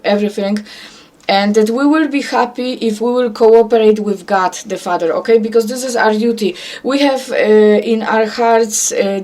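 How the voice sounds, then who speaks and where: A woman's voice: on the high side, darkish tone. A woman from Poland with a Polish accent and Polish as her first language, giving an earnest religious monologue to camera. She repeats herself often and keeps checking in with "okay?". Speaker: self-described prophet.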